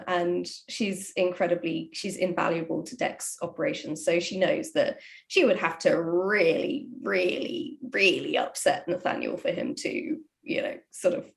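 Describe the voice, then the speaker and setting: A woman, a writer, talking casually and explaining her craft with animated emphasis. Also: lowish voice, vocal fry. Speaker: audio drama writer. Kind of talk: casual discussion of writing.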